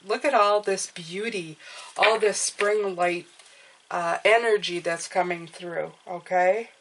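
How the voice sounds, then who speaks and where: A woman with a stuffed-up, nasal voice talks through a tarot spread in a relaxed, encouraging way, often pausing to ask "okay?". Her pitch sits in an ordinary middle range, with a faint hiss of noise behind her. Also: low-quality recording. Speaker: tarot reader. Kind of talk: casual tarot reading monologue.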